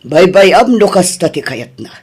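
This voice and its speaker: A woman with an Ilocano accent playing a middle-aged mother, soft with her son and stern with her daughter, in an audio drama. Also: on the low side, sing-song, moderate pace, slightly rough. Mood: scolding, upset.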